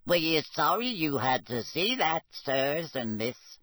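A timid little house elf, squeaky and high, bowing and scraping in broken grammar, as a man puts him on. Its words come slow and breathy. The sound is close and dry. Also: sing-song, sharp tone, no echo, heavily acted. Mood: servile. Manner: meek, servile, broken grammar.

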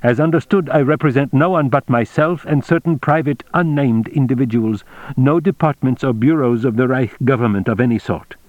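A man's voice: mid-range voice, normal speed, darkish tone, no room sound, lo-fi sound, pitched above the performer's own voice, natural voice. A man voicing a composed German naval intelligence captain in an audiobook, secretive and urgent, with a clipped, formal briefing manner. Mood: formal, guarded.

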